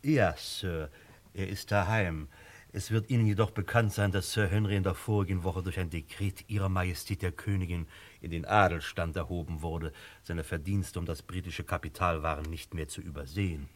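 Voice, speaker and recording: An actor playing a stiff butler in a vintage radio crime play, formal, measured and condescending. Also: male voice, low-pitched, steady tone. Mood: haughty, formal. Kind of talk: vintage German radio crime drama acting.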